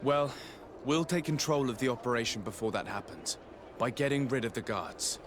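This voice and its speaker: A man's voice: mid-range voice, background noise, lightly acted. A man as a courteous, formal noble envoy. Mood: resolute. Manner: formal, polite, measured. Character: courteous, composed male noble envoy investigating wrongdoing. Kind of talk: video game cutscene acting.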